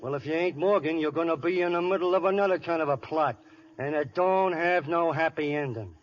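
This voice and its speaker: Guy playing slangy american gangster, cocky n threatening, kinda high voice, old radio show, dull sound. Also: fairly quick, lightly acted. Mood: suspicious. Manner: slangy wise-guy tough talk. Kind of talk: 1930s-40s radio gangster acting. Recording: muffled, hissy old radio broadcast.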